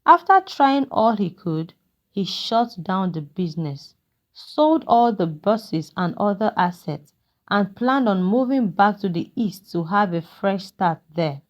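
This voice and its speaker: A woman voicing a kindly, regretful old man who talks in a measured, fatherly way in a narrated folktale. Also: mid-range voice, animated, dull audio, close to an everyday voice. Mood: reminiscing, regretful. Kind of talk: character dialogue in a narrated folktale.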